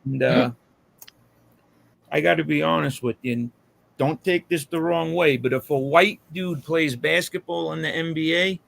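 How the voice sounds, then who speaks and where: A man's voice: on the high side, normal speed, vocal fry, a bit bright. An American man in casual chat on a live hangout, with a slangy, profane way of talking.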